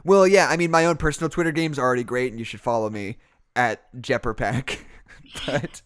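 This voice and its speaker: A man's voice: mid-range voice, a little fast, fairly bright tone, clear, resonant tone. A young man hosting a podcast in a casual chat, rambling and joking at his own expense. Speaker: podcast host.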